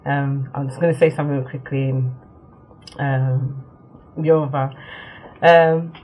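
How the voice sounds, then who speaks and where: A young woman born in Nigeria, chatting casually to camera for her vlog, with many ums and quick laughs. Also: extremely low pitch, fairly even intonation, darkish tone, dull audio. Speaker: vlogger. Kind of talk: casual talk to camera, answering a tag.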